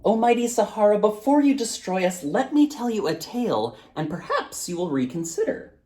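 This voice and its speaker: Man playing a brave, high-pitched storybook boy. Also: big pitch swings, moderate pace, a little mellow. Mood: bold pleading. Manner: earnest, theatrical, polite. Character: brave, clever, courteous young storyteller boy.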